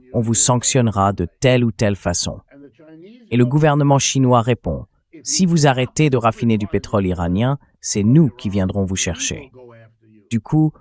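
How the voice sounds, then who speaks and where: A man's voice: on the low side, a little mellow. A man's synthetic AI voice-over delivering conversational, explanatory political commentary as a dubbed interview.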